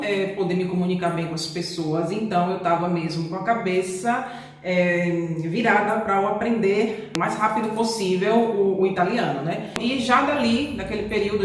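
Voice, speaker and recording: A middle-aged Brazilian woman with a Brazilian Portuguese accent, chatting casually to camera in a rambling, easygoing way with many fillers. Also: medium pitch. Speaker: vlogger. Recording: very echoey room, mic far off.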